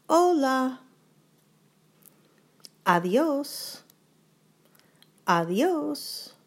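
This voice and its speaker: A woman teaching short phrases in a slow, deliberate repeat-after-me drill, saying each phrase three times. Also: sits high, darkish tone. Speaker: language teacher.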